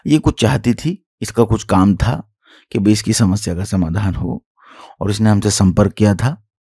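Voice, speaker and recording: A low-voiced man with a creaky, husky delivery addresses followers as a guru. He is emphatic, repeats himself and poses rhetorical questions. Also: normal speed, mellow, no echo, clean studio sound. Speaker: tantric guru. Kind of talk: spoken introduction and explanation to followers.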